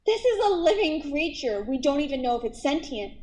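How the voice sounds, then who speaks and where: A woman's voice: sits high, very animated, brisk, a little mellow, a little hiss or hum. A woman playing a principled, stubborn lead scientist in a radio play, insistent and exasperated. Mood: indignant. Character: principled, stubborn female lead scientist, protective of her project.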